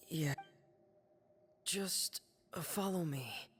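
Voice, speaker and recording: A woman voicing a shy, withdrawn teenage boy in a video game, with a hesitant, halting way of speaking. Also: deep voice. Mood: reluctant. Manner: hesitant, halting, soft-spoken. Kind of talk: video game character acting. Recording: music behind the voice.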